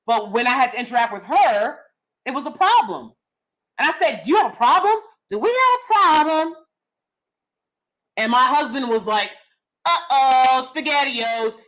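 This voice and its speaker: A young adult woman hosting a casual commentary vlog, loud and blunt, cursing freely. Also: sits high, low-quality recording. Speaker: vlog host.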